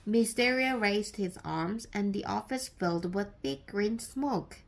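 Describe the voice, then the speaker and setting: A woman reading a children's story aloud as scripted narration, with deliberate, expressive storytelling. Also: mid-range voice, vocal fry. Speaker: storybook reader.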